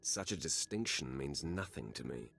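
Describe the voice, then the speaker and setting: An actor playing an arrogant, imposing Sith warrior in a video game, speaking curtly and haughtily. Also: male voice, extremely low pitch, lively intonation, moderate pace, gravelly, fairly bright tone, full character voice. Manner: curt, haughty. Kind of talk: scripted game dialogue.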